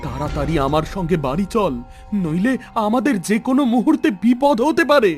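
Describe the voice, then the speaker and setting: A man's voice: sits high, sing-song, a little fast, music in the background. A man voicing a frightened, anxious father in a cartoon, agitated and fretful. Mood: fearful. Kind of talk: cartoon story dubbing.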